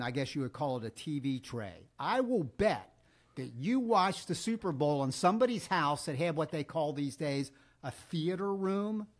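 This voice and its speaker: A man with an American accent co-hosting a podcast, chatting casually and asking teasing questions. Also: on the high side. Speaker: podcast co-host.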